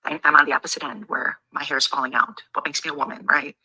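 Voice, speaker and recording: A woman with a husky voice, ranting sarcastically and profanely on a livestream. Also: low voice, flat delivery, fast talker, sharp tone, poor audio. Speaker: livestreamer in a played clip.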